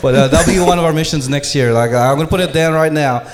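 Laughing, chatty podcast co-host. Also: male voice, fairly high pitch, quick pace. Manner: laughing, animated storytelling with filler words. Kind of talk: casual live panel chat. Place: live conference stage.

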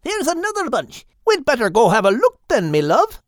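A man's voice: very high-pitched, huge pitch swings, moderate pace, dry recording, studio quality, voice pushed up, full character voice. A man voicing a chirpy, courteous, helpful seagull with a Scottish accent in an audiobook. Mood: eager. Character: chipper, helpful male Scottish seagull, fond husband. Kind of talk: audiobook character voice.

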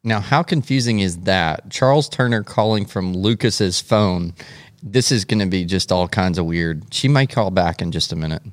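A man's voice: sits low, moderate pace, no echo, clean studio sound. A man hosting a podcast with casual, comic banter. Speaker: podcast host.

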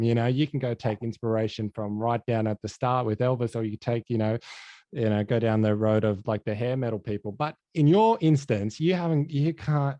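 A man asks his questions in a loose, halting way, circling through fillers and restarts before he lands. His tone is dark and mellow. The sound is dry and somewhat muffled. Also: mid-range voice, no room sound. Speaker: podcast interviewer.

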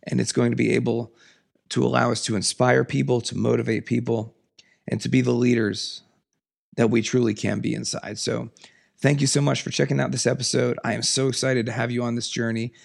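A man hosting a solo podcast, raspy from a cold, talking in an earnest, emphatic, passionate way. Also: flat delivery, brisk. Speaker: podcast host. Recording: lower audio quality.

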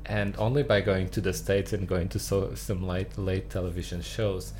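Low-voiced man chatting with fillers. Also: slight background noise. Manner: relaxed, chuckling, halting with fillers. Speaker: podcast co-host. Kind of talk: casual chat. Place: studio.

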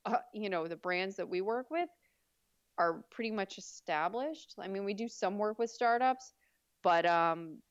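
A woman with a deep voice, chatting easily as an interview guest about her PR agency. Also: measured pace, clear, resonant tone, dry recording, lo-fi sound. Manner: relaxed, chatty, with fillers and easy laughs. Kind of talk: casual interview.

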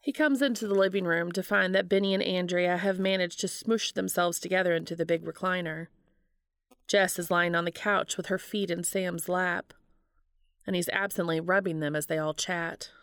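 Female story reader, slightly low register, audiobook-style narration of fiction, dry and close recording. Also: fairly even intonation, normal speed, a bit bright, no echo.

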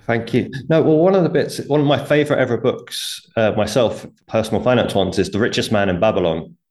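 A man's voice: on the low side, fairly quick, a little mellow. A man, a guest author, explaining money habits earnestly in a conversational interview, with light laughs.

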